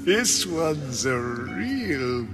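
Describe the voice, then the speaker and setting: Flamboyant, wildly swooping mad artist. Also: male voice, on the high side, big pitch swings, extremely slow, background noise, full character voice. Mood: mocking delight. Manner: theatrical, grandiose, swinging from whispers to shrieks. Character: flamboyant, tyrannical mad artist, cruel and volatile. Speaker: video game voice actor. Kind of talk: theatrical video game acting. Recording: loud music behind the voice.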